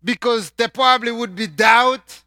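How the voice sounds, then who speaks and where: A man from Haiti with a Haitian accent, preaching a sermon and swinging between loud emphatic lines and quiet asides. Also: very high-pitched, moderate pace, no echo, clean studio sound.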